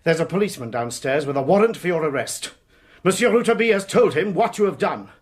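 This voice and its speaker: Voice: mid-range voice, expressive, quick pace, lightly acted. An actor playing a frantic, pleading relative in a period radio drama. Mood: panicked, pleading. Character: frantic, pleading messenger calling to an uncle.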